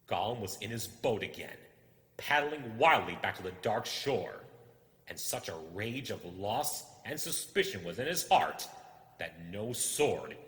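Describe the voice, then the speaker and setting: A man narrates a suspenseful fantasy tale in dramatic audiobook style. His delivery is lively and bright, carried by a long echoing reverb. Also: on the low side, a little slow. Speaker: storyteller, reader.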